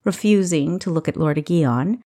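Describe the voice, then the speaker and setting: Woman reading a fantasy audiobook, slightly low voice, expressive storytelling. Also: normal speed. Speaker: audiobook narrator. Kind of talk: expressive scripted fiction narration.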